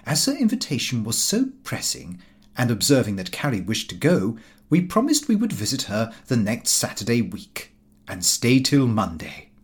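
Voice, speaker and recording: A man narrating a comic diary novel as an audiobook, in a formal, measured and precise storytelling manner. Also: mid-range voice, huge pitch swings.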